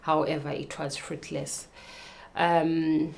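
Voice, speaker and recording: A woman in her thirties from Zimbabwe tells her own story in a low, deep voice. She talks slowly and carefully, stopping often with hesitant ums as she gathers her words. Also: little pitch movement, gravelly. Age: 30s. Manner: slow, careful, with many pauses and ums. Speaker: guest. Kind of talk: personal appeal, telling her story.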